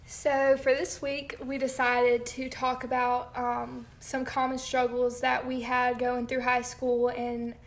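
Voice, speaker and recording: A woman's voice: fairly high pitch, completely flat delivery, slow pace, hardly any pauses. A young woman in college co-hosting a faith podcast, talking casually with some hesitation and dry teasing. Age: young adult. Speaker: podcast co-host.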